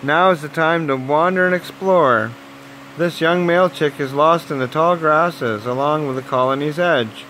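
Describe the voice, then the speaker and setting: A man narrating a children's nature story aloud in simple, deliberate phrases, as if at bedtime. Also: a little high, sharp tone, a little hiss or hum, rough recording.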